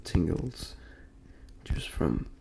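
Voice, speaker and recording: A young man from Denmark speaking soft, breathy ASMR in a gentle near-whisper, repeating phrases as he talks in a personal, motivational way. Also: drawn-out words, slight background noise. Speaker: ASMR creator and host.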